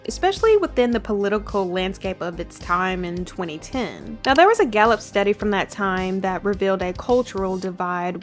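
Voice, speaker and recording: A woman's voice: mid-range voice, a bit bright. A young woman hosting a video essay, mixing scripted commentary with casual, emphatic asides and repeating herself for emphasis.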